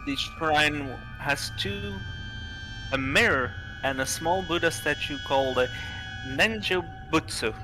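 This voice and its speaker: A man reading visual novel dialogue and narration aloud on a livestream, halting and stumbling over some words. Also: fairly high pitch, music in the background, poor audio.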